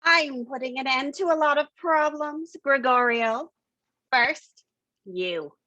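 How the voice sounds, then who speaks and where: Woman playing a slow, scornful femme fatale. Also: sits high, pushed and bright, dry recording, lo-fi sound, heavily acted. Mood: commanding menace. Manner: sardonic, deliberate, condescending. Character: scornful, cool, commanding femme fatale villainess. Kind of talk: staged reading of a noir detective comedy.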